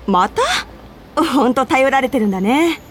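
An actress playing a friendly, tentative young senior coworker in a Japanese radio drama. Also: female voice, sits high, lively intonation, normal speed, slight background noise. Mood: friendly, probing.

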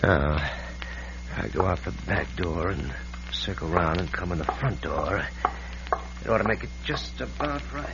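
An actor playing a crooked, menacing small-town bank president, gruff and curt, in an old-time radio western. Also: male voice, low-pitched, expressive, unhurried, lo-fi sound. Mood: scheming, cold. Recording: hissy, band-limited old radio broadcast.

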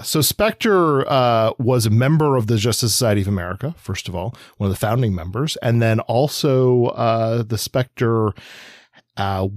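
A man's voice: medium pitch, no echo, clean studio sound. A man co-hosting a podcast, explaining things in a casual, rambling way with an offhand, wry touch. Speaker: podcast co-host. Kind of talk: casual explanatory comics discussion.

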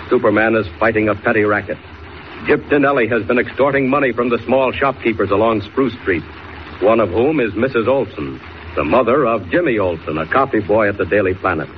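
A man as a dramatic American announcer narrating a forties radio serial. His delivery is declamatory at a medium pitch. The old recording is muffled, with faint noise. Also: close to an everyday voice.

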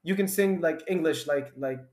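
A male host gushing excitedly in a casual chat, often repeating himself. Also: fairly high pitch, moderate pace, reverberant.

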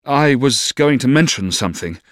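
A man voicing an earnest, ardent young Victorian gentleman in love, tender and exhorting, for an audiobook. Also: mid-range voice, animated, moderate pace, no echo, clean studio sound, pitched above the performer's own voice, close to an everyday voice. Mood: reassuring. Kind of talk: audiobook character voice.

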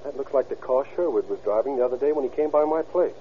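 A man's voice: mid-range voice, quick pace, close to an everyday voice. An American man acting a cowardly, nervous police informant in a 1930s radio drama, jittery and insistent. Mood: uneasy. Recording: dull, hissy old radio recording.

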